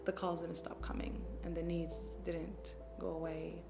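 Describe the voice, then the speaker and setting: A woman's voice: lowish voice, level tone, a little slow, vocal fry. A woman speaking reflectively and earnestly in an interview, telling personal stories as a community mental health worker. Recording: roomy echo, loud music underneath. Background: loud music.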